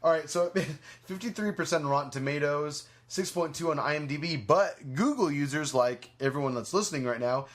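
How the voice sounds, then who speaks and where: A man's voice: a little high, normal speed. A man hosting a podcast, chatting casually about entertainment in a rambling, hedging way. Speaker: podcast host. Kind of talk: casual movie review chat.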